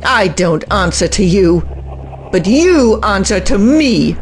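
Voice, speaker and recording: An actor playing a defiant male scientist who turns on his master, bitter and slow-spoken. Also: high-pitched, big pitch swings, background noise, full character voice.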